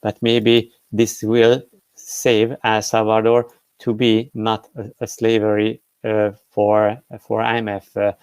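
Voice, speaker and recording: A man talks through the day's news off the cuff, pausing on frequent fillers and restarting his sentences. His voice sits fairly low and comes across smooth and resonant. The sound is close and dry. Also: completely flat delivery, a little mellow, no echo. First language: Hungarian. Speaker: crypto news host. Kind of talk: unscripted commentary on news.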